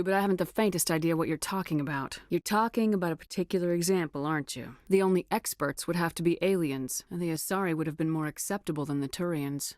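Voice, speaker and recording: A confident military commander of a starship , played by a woman in a video game. Her voice sits on the low side and is recorded close and dry. She speaks with clipped, assured authority and a dry, teasing wit. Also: a little mellow, no room sound, lightly acted. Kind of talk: scripted video game dialogue.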